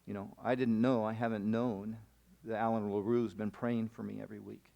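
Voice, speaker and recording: A man speaking as a missionary at a camp gathering, telling a long personal story in a rambling, animated way with many restarts. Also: fairly low pitch, brisk, creaky, lo-fi sound. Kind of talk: storytelling sermon. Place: camp meeting hall.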